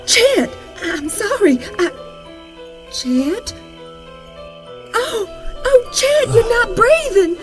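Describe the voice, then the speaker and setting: A woman's voice: extremely high pitch, sing-song, drawn-out words, pauses often, faint music behind, heavily acted. A woman playing an anguished, reluctant plotter in an audio drama, pleading and hesitant. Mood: distraught.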